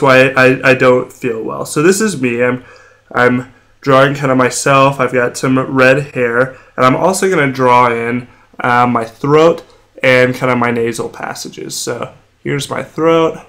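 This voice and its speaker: A young man with a heavily congested, nasal voice gives a drawn whiteboard lesson, sounding feverish and sore-throated. He explains in a plain, steady way and slows down to spell out each medical term. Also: mid-range voice. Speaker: medical educator. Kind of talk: whiteboard lecture while drawing.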